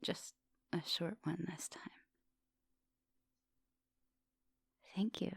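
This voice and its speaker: A woman playing a centuries-old vampire English professor in an intimate ASMR roleplay, soft and dominant, doting and teasing, sometimes slipping into breathy whispers. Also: fairly low pitch, normal speed, no echo, exaggerated voice. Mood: flirtatious, intimate.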